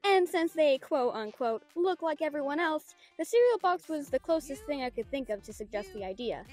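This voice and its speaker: A woman's voice: high voice, very animated, normal speed, a little mellow, soft background music. A woman playing a mischievous young partygoer with morbid humour in an audio drama. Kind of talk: naturalistic audio drama acting.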